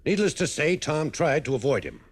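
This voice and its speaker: A man's voice: fairly high pitch, sing-song, fairly quick, husky. An actor playing an earnest, worried American man briefing an investigator in a 1950s radio drama, telling his account insistently and emphatically.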